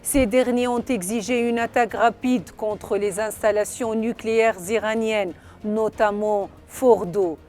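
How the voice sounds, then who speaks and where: A woman narrating a scripted news report in a formal, measured delivery. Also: on the high side, fairly even intonation, darkish tone. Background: background music.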